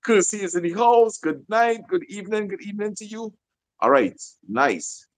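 Lively Guyanese man on a video call. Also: high-pitched, moderate pace. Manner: lively, gives shout-outs, breaks into song. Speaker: co-host. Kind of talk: live-stream chat, reading viewer comments. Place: living room at home.

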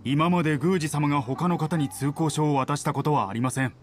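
Stiff polite anime game guard guy by a voice actor, lightish higher voice, music in back. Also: a little fast, natural voice. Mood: firm. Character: dutiful, formal young male palace guard. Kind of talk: Japanese anime game voice acting.

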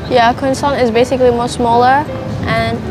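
A woman's voice: fairly high pitch, flat delivery, normal speed, over music. A young woman chatting casually outdoors on a city street, chiming in with short remarks and answering questions. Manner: chimes in with short words, mixes in English. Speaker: vlogger's daughter. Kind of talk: casual chat, brief interjections.

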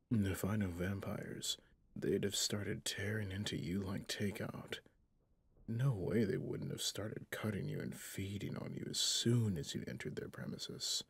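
A man's voice: on the low side, dry recording, full character voice. A man playing a stern, menacing, centuries-old vampire hunter in an ASMR roleplay, commanding and often dropping to a whisper. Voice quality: husky, breathy, gravelly, often dropping to a whisper. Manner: slow, commanding, interrogating.

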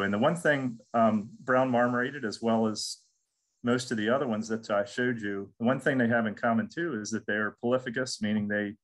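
A man's voice: lowish voice, rough recording. A middle-aged man from the United States giving a casual webinar lecture on crop pests, repeating words and restarting often. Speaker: extension entomologist. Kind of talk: recorded webinar lecture with slides.

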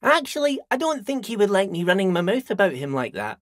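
A high-voiced folksy old dockworker. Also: male voice, very animated, a little fast, fairly bright tone, no echo, clean studio sound. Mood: friendly, chatty. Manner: folksy, rambling, repeats himself. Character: friendly simple-minded old dockworker, chatty and loyal. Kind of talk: video game character dialogue.

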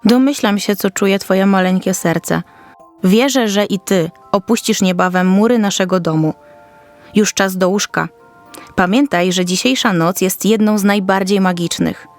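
A woman's voice: mid-range voice, unhurried, faint music behind, close to an everyday voice. A woman playing a kindly, soothing orphanage caretaker in a children's audio fairy tale. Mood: comforting.

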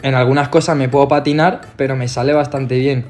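A man's voice: medium pitch, steady tone, a little fast, darkish tone. A young man with a Spanish accent from Spain, talking to his phone camera in a laid-back, slangy way. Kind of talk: casual social media video. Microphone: phone camera close up. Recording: phone clip with background music.

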